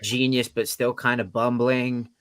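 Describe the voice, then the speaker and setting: A man's voice: medium pitch, level tone, measured pace, over a noisy crowd. A man co-hosting a casual movie chat, talking in a blunt, slangy way. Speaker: podcast co-host.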